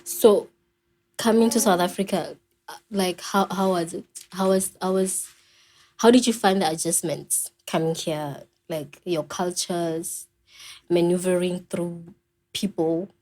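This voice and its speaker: Mellow-voiced woman hosting a podcast. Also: fairly low pitch, steady tone, unhurried, low-quality recording. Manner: stumbling restarts when asking. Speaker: podcast host. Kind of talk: conversational interview.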